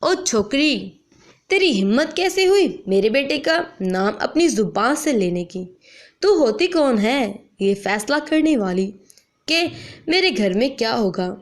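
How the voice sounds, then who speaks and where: A woman's voice: on the high side, very animated, a little slow. A woman storyteller voicing a domineering, scornful, stubborn older male village landlord. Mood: outraged. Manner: imperious, scornful.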